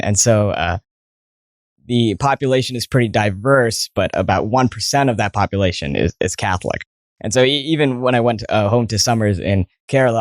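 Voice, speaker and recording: A man's voice: fairly low pitch, no room sound, studio quality. A young man in his twenties with an American accent, telling stories as an interview guest, full of fillers and restarts. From born in Saudi Arabia, raised partly in New Jersey. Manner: many fillers and restarts, storytelling. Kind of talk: casual interview talk.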